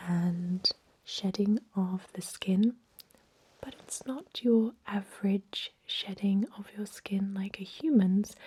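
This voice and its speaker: A woman playing a thousand-year-old vampire medic in an ASMR role-play, whispering breathily in a soothing, unhurried manner with murmured hums. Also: mid-range voice, mellow, husky.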